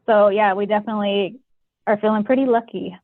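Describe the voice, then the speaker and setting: A woman's voice: medium pitch, steady tone, a little fast, dry recording. A woman speaks over a narrow, phone-like conference connection with very little room sound. She gives an informal update in a conversational, explanatory way. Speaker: public health epidemiologist. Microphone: conference call connection. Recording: muffled phone-line conference call audio.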